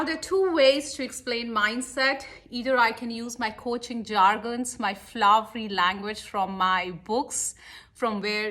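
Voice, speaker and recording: A middle-aged woman with an Indian accent talks as a life and business coach. Her voice is fairly high and very bright, with a forceful edge. She addresses listeners directly and stresses her points as she moves through motivational stories. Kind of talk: motivational talk with storytelling.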